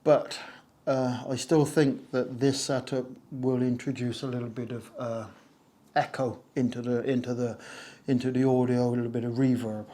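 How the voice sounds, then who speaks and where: A man with a slightly hoarse voice, talking casually to camera about his audio setup, with many fillers and self-mocking jokes, recorded on a wireless lapel mic in a somewhat echoey conservatory. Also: medium pitch, little pitch movement, normal speed, warm tone. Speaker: video creator explaining audio gear. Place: conservatory used as a home studio, a little echoey.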